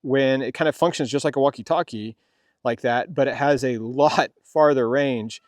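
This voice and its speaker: A middle-aged man hosting a casual product review, talking in a halting, rambling way with repeated phrases. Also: mid-range voice, no echo. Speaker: host of a product review vlog. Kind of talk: casual unscripted product demonstration.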